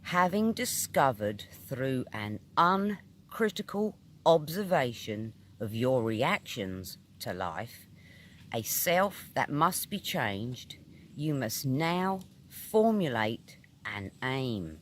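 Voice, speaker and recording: A man with a British accent reading a spiritual essay aloud in a measured, emphatic, oratorical manner. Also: medium pitch, very animated, fairly bright tone, a little hiss or hum. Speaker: reader of metaphysical essays.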